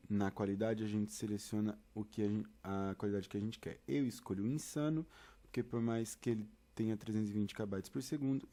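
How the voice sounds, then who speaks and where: A man's voice: fairly low pitch, mellow. A man with a Brazilian accent presents a step-by-step tutorial in an informal, chatty way, speaking directly to the listener. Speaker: theatre and audio tutorial presenter.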